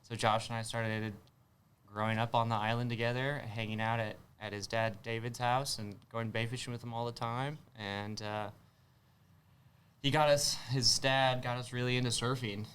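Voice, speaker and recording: A man's voice: medium pitch, steady tone, moderate pace, fairly bright tone, clear, resonant tone. A man in his twenties from south Texas with an American accent, chatting casually and hesitantly as an interview guest. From south Texas, United States. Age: 20s. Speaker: guest, spearfisher.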